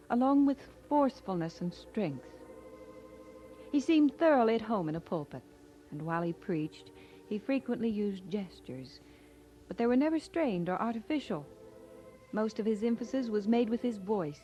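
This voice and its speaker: An actress playing a minister's wife who narrates a radio drama in gentle, measured, deliberate phrases. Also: female voice, medium pitch, lightly acted. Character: minister's wife warmly recalling her husband, gentle storyteller. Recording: dull lo-fi radio recording.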